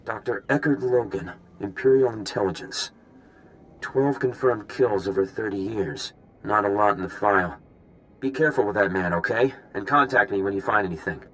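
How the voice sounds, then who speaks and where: A man's voice: on the low side, normal speed, a bit bright, natural voice, rough recording. A male actor playing a deferential, helpful intelligence desk officer in a video game, earnest and businesslike. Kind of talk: scripted game cutscene dialogue.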